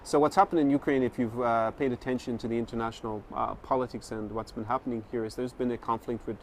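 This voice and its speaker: A man hosting a vlog, explaining things conversationally to camera in measured, slightly hesitant phrasing. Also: medium pitch, steady tone. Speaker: vlog host. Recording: outdoor street noise.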